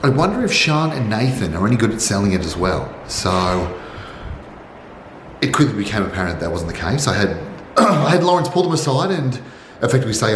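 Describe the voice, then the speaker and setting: Congested man vlogging in an echoey room. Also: fairly low pitch. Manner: formal, wordy phrasing. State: congested, sick. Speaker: barbershop owner and vlogger. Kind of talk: vlog narration to camera. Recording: roomy echo with faint noise.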